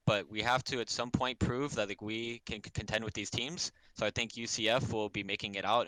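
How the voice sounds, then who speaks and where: A man's voice: on the low side, bright, forceful tone, smooth, no room sound, poor audio. A man in his twenties, a college esports player, chatting casually after a match with lots of "like". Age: 20s. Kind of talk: post-match interview.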